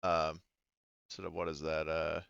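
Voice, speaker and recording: A man's voice: extremely low pitch, monotone, normal speed, vocal fry, a bit bright, slight background noise. A man speaking casually as game master of a tabletop roleplaying session, on a slightly dull recording. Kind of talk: casual game talk, narrating scenes.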